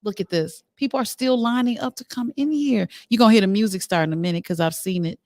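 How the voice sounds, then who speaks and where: A middle-aged woman hosts a live show in a casual, conversational style. Her voice sits at an ordinary pitch and is recorded dry, with very little room echo. She is chatty and speaks straight to the people watching. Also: fast, darkish tone. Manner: chatty, conversational, talks directly to viewers. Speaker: talk show host.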